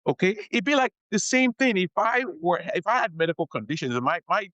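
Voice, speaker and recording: A man's voice: high-pitched, very animated, fairly quick, a bit bright, no room sound, muffled recording. Man explaining immigration law, dry sound. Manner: explanatory, repeats key advice, frequent "okay?" check-ins. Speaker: immigration attorney guest. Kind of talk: conversational podcast interview.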